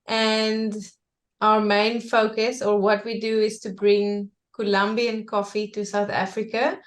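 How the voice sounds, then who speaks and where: Slow-speaking woman, echoey room. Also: mid-range voice, level tone. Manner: slow and gentle, laughs between phrases. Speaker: coffee importer guest. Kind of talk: casual interview talk.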